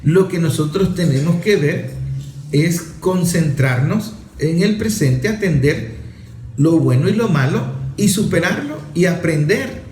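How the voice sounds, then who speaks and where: Man, emphatic rhetorical monologue, higher voice, echoey room. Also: expressive, a little slow, a little mellow, a little hiss or hum. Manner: emphatic, rhetorical, enumerating points. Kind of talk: opinion monologue.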